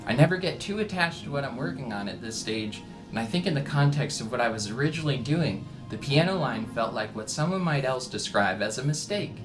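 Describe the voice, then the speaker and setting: A man giving a reflective, measured scripted narration, as a musician talking about his own work. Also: mid-range voice, lively intonation. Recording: ambient music behind the voice. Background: ambient background music.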